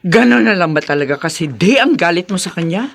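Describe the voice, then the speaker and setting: A woman's voice: fairly low pitch, lively intonation, a little fast, vocal fry. An actress playing a sorrowful middle-aged mother of grown sons in an audio melodrama, pleading and hesitant. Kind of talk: melodramatic audio drama acting.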